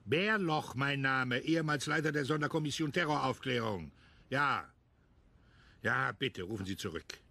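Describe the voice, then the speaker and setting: An actor playing a bitter, stubborn older retired police chief in a radio crime drama, curt and commanding. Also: male voice, medium pitch, animated, normal speed, creaky, pushed and bright, heavily acted. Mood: insistent. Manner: curt, irritable.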